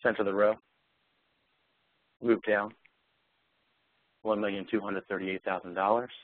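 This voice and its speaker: A man with a fairly low voice leads a live software demo. He explains features and issues voice commands. The sound is dry, with very little room around it. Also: flat delivery, measured pace, no echo, narrow, tinny audio. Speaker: software demonstrator.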